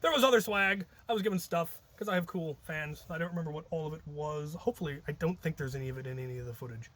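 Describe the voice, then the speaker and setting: A man's voice: on the high side, fast talker, a bit bright. A man telling stories in a casual, rambling vlog style, thinking aloud with a jokey, self-deprecating manner. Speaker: hobby video creator and host.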